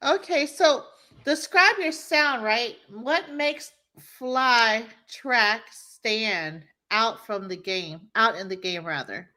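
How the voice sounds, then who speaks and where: A woman's voice: a little high, slow pace, sharp tone. A woman hosting a podcast interview, encouraging her guest with frequent short affirmations. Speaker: podcast host.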